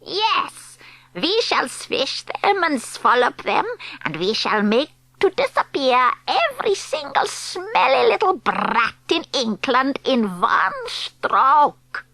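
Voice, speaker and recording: An imperious witch queen for an audiobook, high-voiced and wildly swooping. Her put-on foreign accent mangles English as she commands theatrically and stretches her words slowly, full of scorn for children. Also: huge pitch swings, pushed and bright, dry recording, exaggerated voice, poor audio. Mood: gloating menace. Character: Grand High Witch, imperious female villain loathing children. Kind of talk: audiobook character performance.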